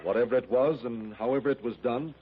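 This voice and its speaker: Man as a brisk, decisive jungle hero, quick speaker, muffled old radio recording with faint hiss. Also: medium pitch, lightly acted. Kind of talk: 1930s radio acting.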